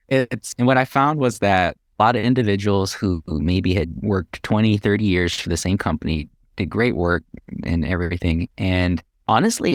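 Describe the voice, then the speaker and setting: A man's voice: deep voice, no echo, studio quality. A middle-aged man with an American accent chatting casually as an interview guest, telling his own story in a conversational way. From Hawaii, United States. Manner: conversational, chuckles while talking. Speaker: podcast guest, real estate investor.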